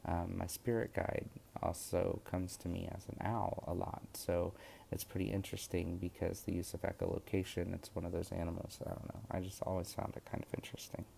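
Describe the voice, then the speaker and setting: Deep gravelly young man, hesitant. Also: flat delivery, brisk, warm tone, a little hiss or hum. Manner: rambling, hesitant, many fillers and restarts. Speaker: witchcraft course student vlogger. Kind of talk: casual spoken vlog.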